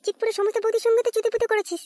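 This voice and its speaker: A woman voicing a domineering mother and nagging wife in a comedy cartoon, threatening and shrill. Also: little pitch movement, racing, mellow, dry recording, studio quality. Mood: angry nagging.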